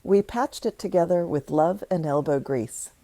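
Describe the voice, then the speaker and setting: A woman narrates a scripted personal story in a measured, thoughtful manner. Her voice sits low with a gravelly edge and a soft, warm tone. The recording is close and dry. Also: no echo.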